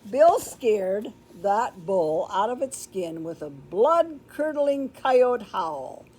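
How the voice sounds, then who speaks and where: Older lady reading a kids book out loud, really unhurried, expressive, mid pitch. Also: husky. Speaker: storybook reader. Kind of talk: read-aloud children's storytelling. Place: indoor room with books, like a classroom or library.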